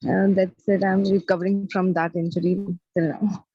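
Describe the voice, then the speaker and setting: A woman's voice: lowish voice, little pitch movement, moderate pace, mellow, slightly rough, dry recording. A young woman speaks in Indian English in short, plain sentences. The call audio sounds dull and dry. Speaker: participant, footballer.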